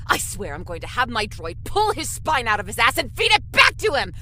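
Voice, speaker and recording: An actress playing a hot-tempered, cynical, foul-mouthed game show executive in an audio drama, brusque and sarcastic. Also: female voice, on the high side, huge pitch swings, a little fast, pushed and bright, a little hiss or hum, exaggerated voice. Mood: furious.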